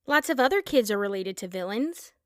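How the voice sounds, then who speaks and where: A woman's voice: mid-range voice, lively intonation, brisk, natural voice. A woman playing a timid young niece of a villain in an audio drama, hesitant and subdued. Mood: hurt, hesitant.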